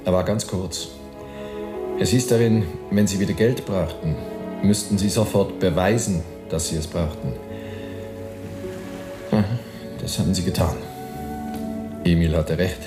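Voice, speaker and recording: An actor playing a grave, knowing hotel guest in a German radio drama, speaking in a measured, dry way. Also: male voice, deep voice, steady tone, echoey room, music in the background. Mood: scornful, musing.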